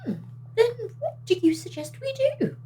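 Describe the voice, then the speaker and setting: A woman playing a suspicious, solemn councillor in an audio drama, formal and deliberate. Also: very high voice, lively intonation, dark tone. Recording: faint hum behind.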